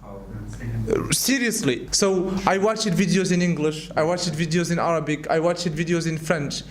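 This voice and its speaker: Young male teacher, high voice, animated, repeats himself mid-thought, classroom Q&A. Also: unhurried. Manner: animated, repeats words while thinking. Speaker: English teacher. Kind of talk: classroom question-and-answer.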